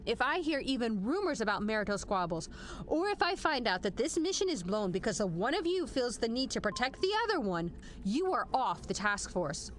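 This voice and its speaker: An actress playing a stern, exacting major general in an audio drama, firm and emphatic. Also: female voice, fairly high pitch, sing-song, fairly quick, a little hiss or hum. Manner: firm, clipped orders, emphatic.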